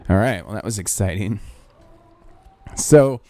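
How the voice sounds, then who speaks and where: A man co-hosting a comedy podcast in casual, crude chat, quick to laugh and swear. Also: lowish voice, warm tone. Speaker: podcast co-host.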